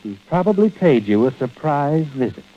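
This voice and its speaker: A man's voice: fairly high pitch, very animated, normal speed. An actor playing a sly, knowing narrator of a vintage radio suspense show, insinuating as he addresses a guilty man. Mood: ominous. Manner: insinuating, taunting rhetorical questions. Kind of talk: 1940s-50s radio suspense narration in second person. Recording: dull vintage radio recording with music.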